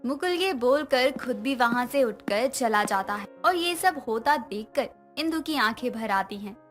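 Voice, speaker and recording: A woman's voice: fairly high pitch, animated, a little slow, faint music behind. A woman narrator reading a scripted moral bedtime story.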